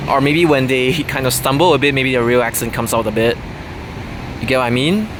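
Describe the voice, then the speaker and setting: A man's voice: medium pitch, fairly quick, noisy background. A man talking casually to camera in an unscripted, rambling way, full of fillers and restarts. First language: English. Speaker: vlogger.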